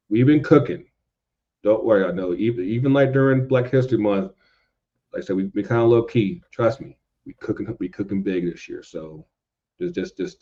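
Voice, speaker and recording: A man's voice: fairly low pitch, dark tone. An American man chatting casually as a podcast guest, informal and slangy, often repeating phrases for emphasis, on a dull lo-fi recording.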